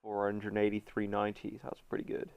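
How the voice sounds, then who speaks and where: A congested man with a runny nose, chatting through a casual game guide voice-over and filling pauses with ums and uhs. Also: fairly low pitch, completely flat delivery, darkish tone, smooth, no room sound. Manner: chatty, many fillers. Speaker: gaming video host.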